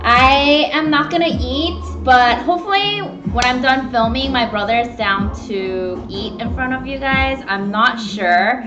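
Young female live-stream cooking host, high pitch, casual meandering chat, dull room sound with music behind. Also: unhurried, few pauses. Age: young adult. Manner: informal, meandering, greeting viewers. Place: small home room, indoors at a table. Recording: echoey, dull webcam stream, background music.

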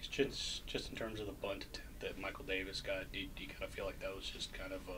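A man's voice: low voice, flat delivery, fast. A man asking questions as a reporter at a press conference, recorded at a distance with noise behind. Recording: distant from mic, clear background noise.

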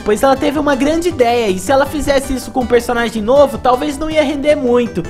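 A young man hosting a video game review, reading scripted gameplay narration. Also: extremely high pitch.

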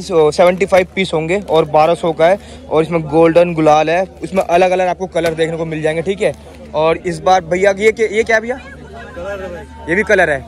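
A young man filming a casual vlog on his phone as he walks a busy outdoor street market, chatting with sellers and repeating each price to the camera. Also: high voice. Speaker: vlogger. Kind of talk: handheld market walkthrough vlog. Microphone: phone held at arm's length.

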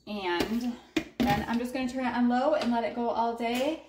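A woman casually talking through her meal prep in a home kitchen, relaxed and chatty with lots of ums. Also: fairly high pitch, normal speed, background noise. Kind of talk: casual cooking vlog narration. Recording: echoey kitchen with clattering dishes. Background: clinking cutlery, dishes and pans.

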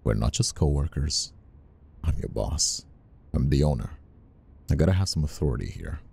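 A man playing a flirtatious, dominant boss in an intimate ASMR roleplay, murmuring slowly and breathily with a deep, gravelly voice. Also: animated, darkish tone, heavily acted. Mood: teasing, reluctant. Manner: slow, breathy murmuring with sighs. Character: flirtatious, conflicted male boss, dominant and teasing. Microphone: close ASMR microphone.

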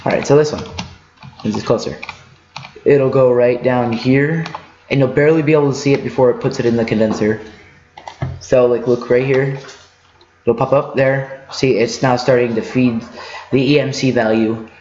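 A man giving a casual, unscripted video game walkthrough, halting and rambling as he thinks aloud. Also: medium pitch, fairly even intonation, darkish tone. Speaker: gaming tutorial host. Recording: muffled lo-fi mic in echoey room.

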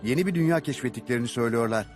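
A man's voice: medium pitch, very fast, faint music behind, natural voice. A man voicing a gossiping townsman in a dubbed cartoon. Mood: gossipy.

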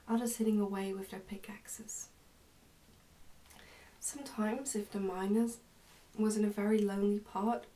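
A young woman reads a storybook aloud in a soft, hushed, careful ASMR style. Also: medium pitch, recorded from a distance, rough recording. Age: young adult. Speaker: ASMR narrator reading a book aloud. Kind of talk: ASMR storybook reading, soft-spoken and whispery. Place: indoors, small plain room.

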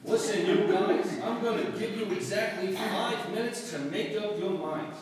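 Threatening tough-guy gangster, played by a man, high lively voice, roomy hall. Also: moderate pace, a little hiss or hum, lightly acted. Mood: menacing. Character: tough menacing male gangster. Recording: echoey hall, distant mic.

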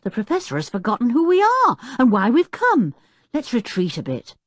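Storybook role: courteous, earnest teenage lad acted by a woman, keen to placate, with animated pitch. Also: moderate pace, dry recording, studio quality. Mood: quiet, cautious. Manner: polite, earnest. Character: polite, earnest, well-mannered teenage boy, eager to placate.

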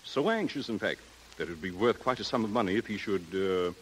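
A man's voice: on the low side, animated, brisk, a bit bright, a little hiss or hum, low-quality recording. An actor playing a stuffy, wealthy, snobbish man with a formal, pompous, hesitant manner, in 1930s radio style. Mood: delicately scheming. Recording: 1930s radio recording with music.

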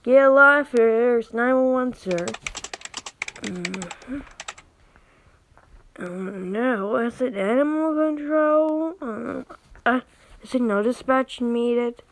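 High-voiced, unhurried woman commenting on gameplay. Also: slight background noise. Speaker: gaming video host. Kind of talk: casual gameplay commentary, reading game text aloud.

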